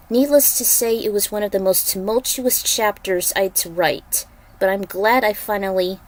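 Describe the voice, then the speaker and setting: A woman with a mid-pitched voice reads as an amateur author-narrator. Her delivery is dramatic storytelling, with a casual, personal side for author's notes. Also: poor audio. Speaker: fan fiction author and narrator.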